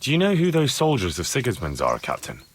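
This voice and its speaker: A man's voice: mid-range voice, huge pitch swings, quick pace, noisy background. A man playing an earnest, respectful young blacksmith's son in a video game. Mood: curious. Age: young adult. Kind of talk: video game dialogue acting.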